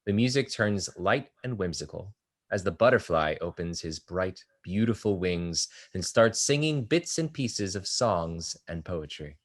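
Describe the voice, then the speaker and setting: A man reading the stage directions aloud in a steady, descriptive way at a table read of a stage play. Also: deep voice, measured pace.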